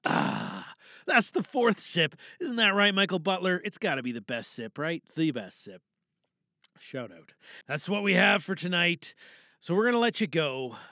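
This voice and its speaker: A playful audio drama presenter chats casually and with some self-amusement. The voice is bright and very animated in pitch, with an unhurried pace and a dry, close sound. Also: no room sound. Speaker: monologue performer and presenter. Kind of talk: casual, jokey chat around an audio drama recording.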